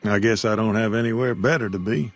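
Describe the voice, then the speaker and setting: A man's voice: fairly low pitch, fairly even intonation, fairly quick, faint music behind, natural voice. A man voicing a weary old frontier shopkeeper who talks in a drawl. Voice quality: gravelly drawl. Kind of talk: video game dialogue.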